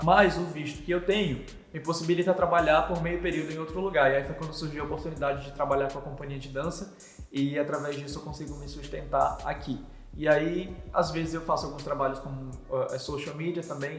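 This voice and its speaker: A young man from Manaus with a Manaus Brazilian Portuguese accent, answering viewer questions in a chatty, earnest vlog. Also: on the high side. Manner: chatty, earnest, religious talk. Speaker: vlogger and missionary. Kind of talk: casual Q&A vlog. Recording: echoey room with long reverb, faint music.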